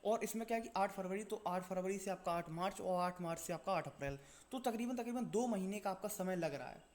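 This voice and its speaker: A man's voice: high voice, a little fast. A man presenting an exam-update video in an informal explainer style, repeating his points and answering his own questions.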